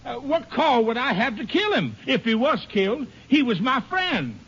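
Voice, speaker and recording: An actor playing a hard-of-hearing ranch hand with a drawl, in a 1950s radio drama, defensive and folksy. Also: male voice, very high voice, sing-song, normal speed, fairly bright tone, slightly rough, exaggerated voice. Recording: dull lo-fi old radio broadcast.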